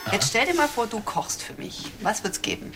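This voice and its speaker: A woman's voice: fairly high pitch, very animated, normal speed. A woman speaking acted dialogue in a clip from a television series. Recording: noisy TV clip.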